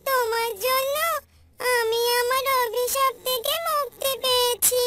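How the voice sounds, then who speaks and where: A woman voicing a kind young fairy who speaks slowly and gently. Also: very high-pitched, little pitch movement, no echo, studio quality, faint music behind, pitched above the performer's own voice, full character voice. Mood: gentle. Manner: slow, gentle, soothing.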